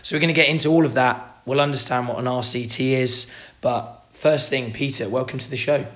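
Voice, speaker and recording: Hoarse, croaky male podcast host. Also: mid-range voice, steady tone, a little fast, a bit bright, highs cut off. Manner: upbeat, informal slang. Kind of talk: casual podcast chat.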